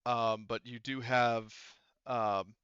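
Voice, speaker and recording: A man narrating a tabletop game casually as game master, halting often as he thinks aloud. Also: medium pitch, level tone, fairly bright tone, clean tone, dry recording, muffled recording.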